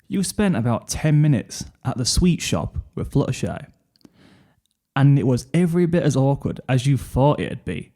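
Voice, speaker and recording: A man's voice: medium pitch, very animated, normal speed, dark tone. A man narrating a second-person fan fiction story.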